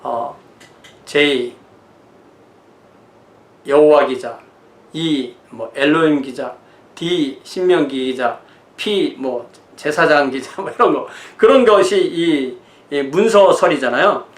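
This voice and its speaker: A man in his sixties with a slightly high voice lectures as a pastor. He explains things conversationally, moving between notes and informal asides. The sound is roomy and echoing. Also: slow, long pauses. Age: 60s. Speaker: pastor teaching church history.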